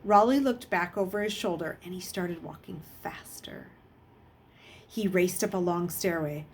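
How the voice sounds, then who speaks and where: A woman reading a children's novel aloud in an expressive storytelling style. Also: fairly low pitch, a little slow, slight background noise. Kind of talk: expressive storybook read-aloud with character voices.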